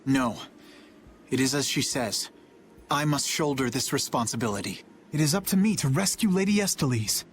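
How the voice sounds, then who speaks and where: An actor playing an earnest, dutiful young knight captain in a video game, speaking formally and with solemn restraint. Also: male voice, mid-range voice, animated, moderate pace, slight background noise, close to an everyday voice. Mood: resolute. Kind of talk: English video game voice acting.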